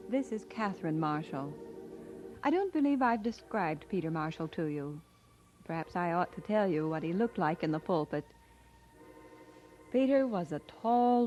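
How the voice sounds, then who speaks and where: An actress playing a minister's wife who narrates a radio drama in gentle, measured, deliberate phrases. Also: female voice, lowish voice. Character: minister's wife warmly recalling her husband, gentle storyteller. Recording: dull lo-fi radio recording.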